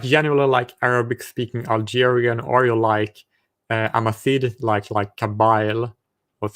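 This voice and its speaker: A man hosting casual video chats with strangers, asking curious questions and often stumbling and restarting his sentences. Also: mid-range voice. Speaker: online video chat host.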